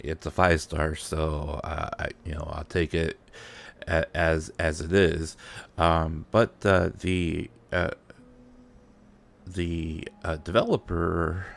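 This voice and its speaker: Low gravelly congested man, slow and halting. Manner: halting, full of fillers and restarts. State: congested from allergies. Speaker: streamer host. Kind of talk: casual gaming stream chat.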